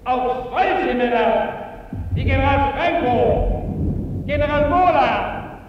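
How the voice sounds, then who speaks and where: An actor playing a scornful fascist general declaiming war propaganda over the radio in a radio play. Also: male voice, very high-pitched, lively intonation, slow, full character voice. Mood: scornful ranting. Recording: echoing, dull, noisy radio broadcast sound.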